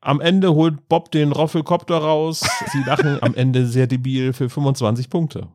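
A man's voice: on the high side, steady tone, normal speed, a little mellow, dry recording. Playful man on a dry mic. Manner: chuckling, playful. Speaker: podcast co-host. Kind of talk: casual chat reviewing an audio drama.

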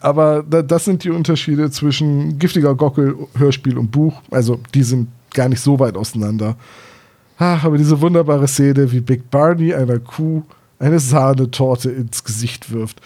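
A man's voice: medium pitch, moderate pace, mellow. A man talking casually as a podcast co-host, retelling and discussing stories.

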